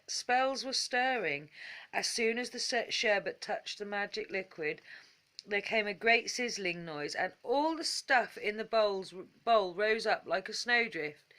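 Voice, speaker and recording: A woman reading a children's story aloud as a calm, gentle bedtime storyteller. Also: mid-range voice. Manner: gentle, unhurried.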